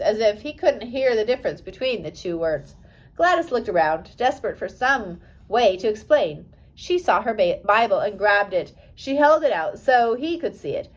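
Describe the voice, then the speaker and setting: A woman's voice: on the high side, rough recording. A woman narrating a storybook as an audiobook reader, carefully reading aloud with an occasional stumble over the text.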